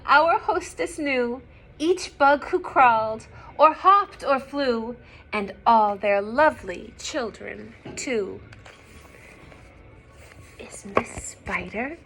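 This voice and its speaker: A woman reading a picture book aloud to young children, expressive and gentle, often dropping into hushed asides to her listeners. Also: on the high side. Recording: some background noise.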